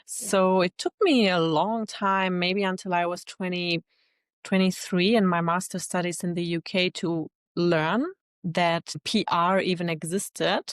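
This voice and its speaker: A woman in her thirties, talking in a fairly low voice about her own path. She sounds reflective and laughs easily. The sound is dry and close to the mic. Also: fairly even intonation, a little slow, no echo. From Germany. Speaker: guest, LinkedIn expert. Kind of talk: casual interview chat.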